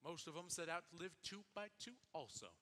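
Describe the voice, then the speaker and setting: An actor playing a folksy narrator and wedding minister who talks in a drawling, homespun way with wry asides. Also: male voice, on the high side, animated, brisk, bright, forceful tone. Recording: reverberant old film soundtrack.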